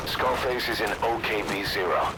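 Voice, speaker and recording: An actor playing a grim, embittered military commander, giving a terse, grave mission briefing in a video game. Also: male voice, lowish voice, normal speed, heavy background noise, close to an everyday voice. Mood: grim resolve. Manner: terse, grave, emphatic delivery. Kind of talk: dramatic scripted mission briefing.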